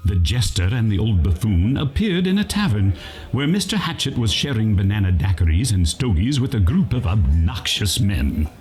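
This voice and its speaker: A man's voice: fairly low pitch, very animated, moderate pace, a little mellow, soft background music. A man playing a wry, mock-dramatic narrator, reading scripted narration for a parody radio play.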